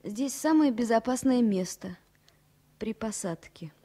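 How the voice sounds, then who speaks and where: High-voiced young stewardess, earnest. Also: female voice, expressive, measured pace, mellow. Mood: quiet, tender. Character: young airline stewardess, earnest, composed but tender. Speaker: actor in radio play. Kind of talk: 1970 Soviet radio drama acting. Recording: noisy old radio play recording.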